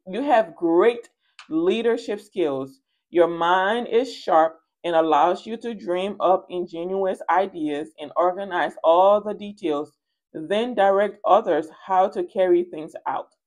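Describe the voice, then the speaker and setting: Woman giving a casual tarot reading. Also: fairly low pitch. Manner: playful, animated storytelling with quoted asides and slangy endearments. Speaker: tarot reader and channel host. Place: indoors at a card table.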